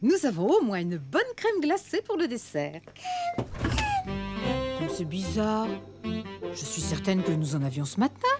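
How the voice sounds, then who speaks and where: A woman's voice: medium pitch, big pitch swings, a little slow, pauses often. A woman voicing a frazzled, well-meaning, apologetic mother in a children's cartoon, flustered and earnest. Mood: sheepish. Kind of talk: French children's cartoon dubbing. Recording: loud cartoon music behind the voice.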